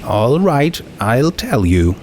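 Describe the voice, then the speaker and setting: A man voicing a cool, pitiless teenage girl with a condescending, calmly menacing manner in a dramatic story reading. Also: mid-range voice, slow pace, slight background noise, voice pushed up.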